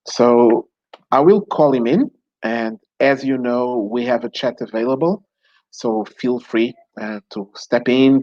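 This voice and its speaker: A man's voice: on the low side, darkish tone, dry recording, highs cut off. A man from Mozambique, hosting an online talk show in a hesitant way with many fillers and restarts. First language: Portuguese. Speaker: show host.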